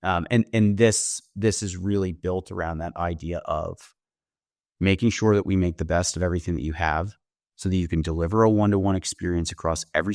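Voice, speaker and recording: A man speaking as a company founder and guest, explaining his product in a conversational sales-pitch style and often checking in with "right?". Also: a little mellow, no echo, studio quality. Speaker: company founder demonstrating software, interview guest.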